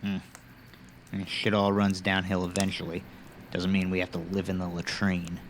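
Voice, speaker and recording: A man playing a blunt, weary older army general in a fantasy audio drama, with a gruff, commanding manner. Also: low voice, monotone, normal speed, a little hiss or hum, natural voice. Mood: dry, needling.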